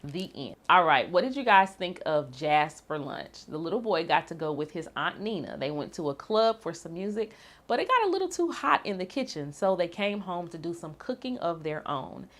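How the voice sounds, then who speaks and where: A woman reading a children's picture book aloud with a rhythmic, bouncy, expressive delivery. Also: on the low side, normal speed. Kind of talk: animated storybook read-aloud.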